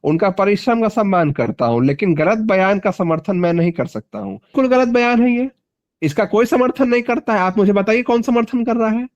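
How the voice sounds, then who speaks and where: A man's voice: high-pitched, a little fast, muffled recording. A man from Bihar, speaking emphatically and repeating phrases for stress as a politician interviewed over the phone. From Bihar, India (Magadh region). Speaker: party politician being interviewed. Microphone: phone call.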